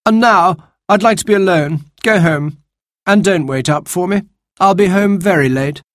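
A man's voice: high-pitched, normal speed, no echo, studio quality, pitched above the performer's own voice, natural voice. A man voicing a cocky, self-assured young man in a children's storybook reading. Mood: bossy. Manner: lofty, bossy storybook voice.

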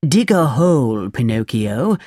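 A woman's voice: extremely low pitch, big pitch swings, unhurried, mellow, no echo, clean studio sound, heavily acted. A woman voicing a sly fox in a children's storybook, coaxing and giving instructions.

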